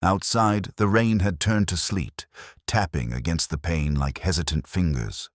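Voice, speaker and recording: A man playing a weary, ageing English detective, narrating a literary drama in a measured, solemn, reflective way. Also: low-pitched, no room sound, studio quality. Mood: somber, reflective. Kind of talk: first-person literary audio drama narration.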